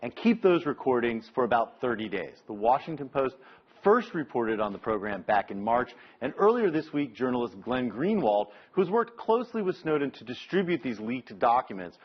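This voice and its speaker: An American man giving a live news report as a correspondent, explaining in a measured way and stressing key words. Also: medium pitch, big pitch swings, husky, muffled recording.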